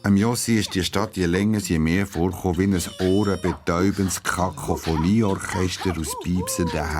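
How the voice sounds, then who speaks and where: A man's voice: low-pitched, noisy background. A man with a Swiss German accent narrating a children's radio story expressively. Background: guitar music.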